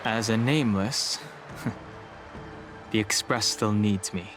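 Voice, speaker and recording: An actor playing a gentle, melancholic young wanderer in a video game, reserved and measured in his speech. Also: on the low side, over music. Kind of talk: game voice acting.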